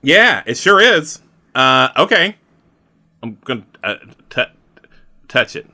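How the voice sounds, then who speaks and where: A man's voice: medium pitch, sing-song, slow, sharp tone. A man playing a tabletop roleplaying game, chatting casually and improvising with joking, mock complaints. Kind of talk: casual chat with in-character improvisation.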